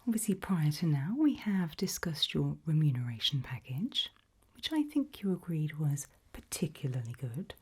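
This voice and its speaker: A woman in an ASMR roleplay voicing a polite, quietly menacing HR interviewer in a breathy, deliberate whisper. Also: sits low, sing-song, exaggerated voice. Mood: calm, probing. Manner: slow, deliberate, softly spoken.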